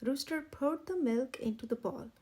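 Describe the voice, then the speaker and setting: A woman narrating a children's storybook in an expressive, measured way. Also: sits high, slightly rough. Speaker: children's read-aloud channel narrator.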